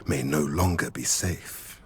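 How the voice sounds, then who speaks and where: An actor voicing a solemn, wise centaur seer in a video game, speaking in a stately, formal, oracular way. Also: male voice, rumbling low, takes it slowly, a little hiss or hum. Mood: solemn, prophetic. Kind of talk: dramatic video game cutscene acting.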